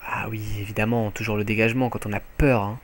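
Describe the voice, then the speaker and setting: A young man with a French accent giving live commentary on his own online match from home, excitable and jokey, swearing often. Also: fairly low pitch, fast talker, a little hiss or hum. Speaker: gaming commentator. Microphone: headset mic.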